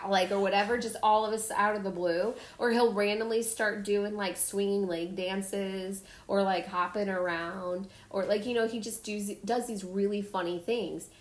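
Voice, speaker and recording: A woman hosting a podcast, telling stories in a casual, animated way and acting out the people in them. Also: mid-range voice, normal speed, hardly any pauses. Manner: animated storytelling, acts out kids' voices. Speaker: podcast host. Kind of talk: casual chat. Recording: echoey room.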